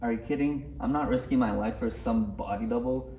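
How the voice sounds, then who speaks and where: A man's voice: medium pitch, a little fast, warm tone, lightly acted. A man playing a grumpy, fed-up character in a parody table read. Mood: indignant. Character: grumpy, fed-up male body double's bodyguard. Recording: dull echoey noisy recording, distant.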